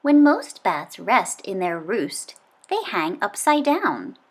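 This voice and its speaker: Animated woman reading aloud to children. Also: mid-range voice, takes it slowly. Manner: expressive, clearly phrased delivery for young children. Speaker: children's book reader. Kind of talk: read-aloud of a children's nonfiction book.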